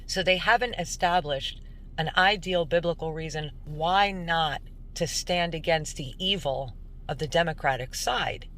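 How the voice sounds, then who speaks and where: A woman giving earnest, emphatic religious commentary as a host, in deliberate narration. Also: low-pitched, fairly bright tone, slight background noise. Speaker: host introducing a recorded call.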